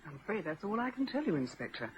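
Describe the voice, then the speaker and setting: An actress playing a prim, loyal and defensive secretary in a vintage radio crime drama, speaking formally and precisely. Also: female voice, medium pitch, very animated, fast, close to an everyday voice. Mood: composed. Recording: dull, noisy vintage radio recording.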